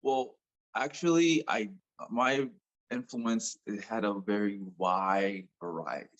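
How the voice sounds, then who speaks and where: A man with a Nigerian accent speaks in a lowish voice. He is a music artist guest chatting eagerly in an interview, often repeating his words. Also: slow pace, muffled recording. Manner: enthusiastic, repeats words as he talks. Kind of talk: casual interview chat.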